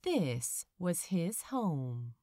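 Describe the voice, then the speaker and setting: A woman narrates fiction as if for an audiobook, taking her time with deliberate pauses. Her mid-pitched voice rises and falls in a very lively way. The recording is close and dry, with no room sound. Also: no room sound. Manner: measured, expressive reading with deliberate pauses. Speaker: podfic narrator. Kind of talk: scripted audiobook-style story narration.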